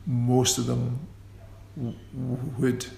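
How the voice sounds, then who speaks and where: A man's voice: mid-range voice, completely flat delivery, vocal fry, mellow. A man who stammers, talking in a radio interview with repeated sounds and restarts. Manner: slow and considered. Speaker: interview guest, musician. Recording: echoey room with faint ambient noise.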